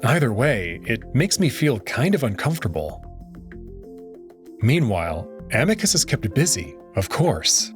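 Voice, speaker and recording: A man hosting a let's play channel, reading a visual novel aloud with wry, expressive delivery. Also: mid-range voice, a little slow, soft background music.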